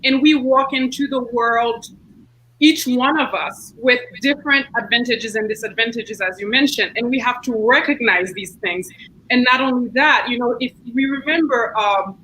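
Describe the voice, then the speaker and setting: A woman's voice: fairly high pitch, moderate pace, bright, forceful tone, a little hiss or hum, poor audio. A woman with a Haitian accent, a city council member speaking earnestly and thoughtfully in an online book discussion, often restarting her sentences. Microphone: video call.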